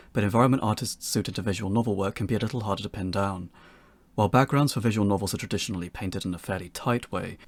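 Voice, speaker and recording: A man presents a scripted tutorial in a fluent, explanatory way. His voice is on the low side and husky, with plenty of fry, and it sounds close and dry. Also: a little mellow, no room sound. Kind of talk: scripted narration for an instructional video.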